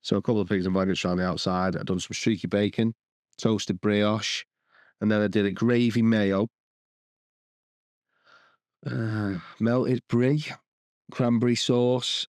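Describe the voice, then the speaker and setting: A man's voice: fairly low pitch, fairly even intonation, measured pace, pauses often, a little mellow, no room sound, clean studio sound. A man co-hosting a podcast, rambling and restarting sentences as he lists the dishes he cooked. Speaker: podcast co-host. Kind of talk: casual chat.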